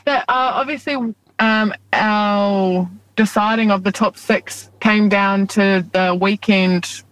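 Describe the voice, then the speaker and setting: A woman's voice: medium pitch, fairly even intonation, slow, a bit bright, low-quality recording. A young woman from New Zealand, a rugby league captain, chatting casually in an interview and laughing often. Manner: laid-back, chatty, laughs often, says "reckon" and "like".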